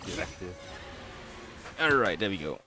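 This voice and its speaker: A man's voice: low voice, very animated, moderate pace. A man doing casual let's play commentary as a streamer, with game music behind him.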